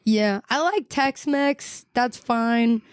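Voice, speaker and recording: A woman's voice: on the high side, slow, smooth, no echo, studio quality. A woman, a chatty, giggly guest who trails off and restarts mid-thought in casual podcast talk. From Texas. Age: 20s. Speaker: guest singer-songwriter.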